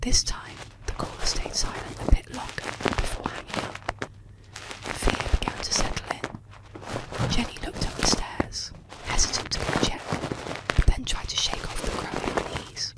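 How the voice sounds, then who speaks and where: Whispering woman, breathy ASMR storyteller. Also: rough recording. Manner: hushed, unhurried, deliberate enunciation. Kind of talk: soft whispered ASMR scripted bedtime storytelling. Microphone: close-up microphone.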